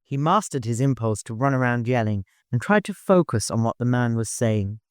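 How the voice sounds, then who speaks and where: A man's voice: medium pitch, expressive, normal speed, smooth, dry recording, clean studio sound. A man narrating a scripted story as an audiobook reader.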